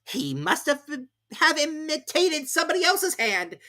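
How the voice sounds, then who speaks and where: A woman voicing a pompous, fussy king of a card court, speaking gravely and with heavy emphasis. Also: high-pitched, big pitch swings, a little slow, pushed and bright, pitched above the performer's own voice, exaggerated voice.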